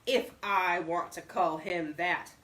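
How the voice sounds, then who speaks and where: Woman acting out a genial old windbag, storybook character, loud, folksy, emphatic, boastful, echoey distant recording. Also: lowish voice, steady tone, slow. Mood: incredulous. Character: talkative, boastful, genial older man with folksy opinions. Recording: roomy echo, distant microphone.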